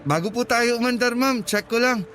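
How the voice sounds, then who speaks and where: Very high-voiced polite young rider. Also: male voice, normal speed, fairly bright tone, slight background noise. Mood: courteous, eager. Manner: eager, courteous, deferential. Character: polite, chatty, careful young motorcycle taxi driver. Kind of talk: radio drama acting.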